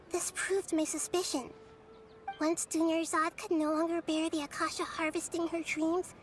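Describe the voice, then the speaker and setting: A woman voicing a gentle, wise, childlike girl deity in a video game, speaking in a thoughtful, slightly formal way. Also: sits high, slight background noise, close to an everyday voice. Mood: calm, explanatory.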